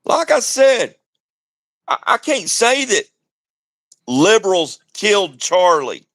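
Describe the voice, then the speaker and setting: A man's voice: high-pitched, big pitch swings, slow, a bit bright, no echo, clean studio sound. A man calling into a livestream, taunting the host in a heated political argument. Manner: taunting, repeats the host's name. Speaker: caller on a livestream.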